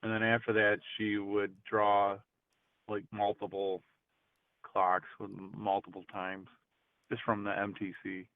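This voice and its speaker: A man's voice: fairly low pitch, measured pace. A man calling in, chatting casually and hesitantly, with many restarts. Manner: hesitant, full of restarts, animated when surprised. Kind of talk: casual chat. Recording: tinny phone line.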